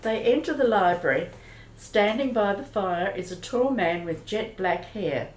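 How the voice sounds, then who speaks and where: A woman narrates a radio play in a measured, descriptive way. She takes her time, at a medium pitch. The room echoes noticeably around her.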